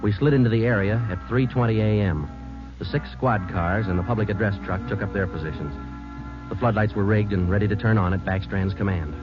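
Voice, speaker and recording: An actor playing a terse police sergeant who also narrates, in flat, matter-of-fact 1950s radio drama style, on a dull lo-fi old radio broadcast with music. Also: male voice, on the low side, brisk, lightly acted. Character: terse male police sergeant, calm and clipped. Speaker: detective and narrator.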